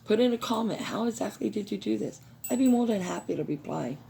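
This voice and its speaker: A woman with a hoarse, recovering voice hosting a craft how-to video, chatting casually with viewers. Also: medium pitch, animated, a little fast, dark tone, slight background noise. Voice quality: gravelly. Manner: chatty, addresses viewers as you guys.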